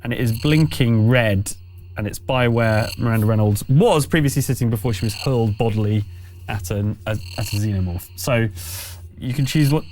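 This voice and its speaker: A man with a medium-pitched voice runs a tabletop role-playing game. He narrates scenes conversationally and addresses the players casually as game master. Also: moderate pace, a little mellow, a little hiss or hum.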